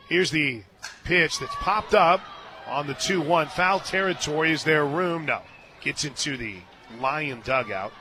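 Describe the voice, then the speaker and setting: A man doing live radio play-by-play of a softball game, mixing pitch calls with folksy, joking asides. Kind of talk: live softball radio commentary with casual banter. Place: outdoor stadium press booth.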